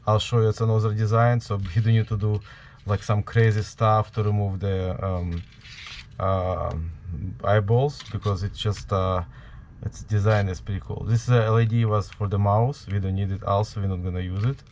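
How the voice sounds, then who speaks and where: A man with a heavy Ukrainian accent, giving a hands-on tutorial at a workbench in a halting way, full of fillers. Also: on the low side, steady tone, darkish tone, slight background noise. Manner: halting, many fillers, talks while working. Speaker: prop maker.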